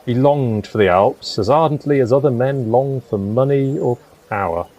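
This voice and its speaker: An actor playing a dreamy, melancholy gentleman poet in a period audio drama, with a wistful, literary manner. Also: mid-range voice, moderate pace, lo-fi sound, lightly acted. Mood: mournful.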